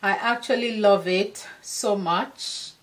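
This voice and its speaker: A woman in her thirties chatting to camera as a fashion vlogger, affectionate and chatty, a little hoarse from losing her voice, recorded in a dressing room by a camera a few steps away. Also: medium pitch, slow pace, low-quality recording. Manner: chatty, affectionate, addresses viewers as darlings. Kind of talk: casual styling talk to camera.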